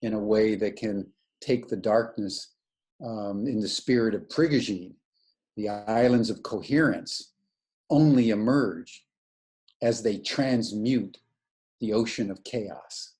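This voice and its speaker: A man's voice: fairly low pitch, slow, darkish tone. A man giving an earnest, searching spiritual lecture over a video call, often restarting his sentences. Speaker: webinar lecturer.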